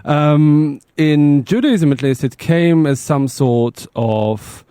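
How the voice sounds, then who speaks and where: A man's voice: fairly high pitch, animated, takes it slowly, mellow, clear, resonant tone, no room sound, clean studio sound. A man hosting a podcast, probing his guest with questions and often restarting with repeated words. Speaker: podcast host. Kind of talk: interviewing a guest.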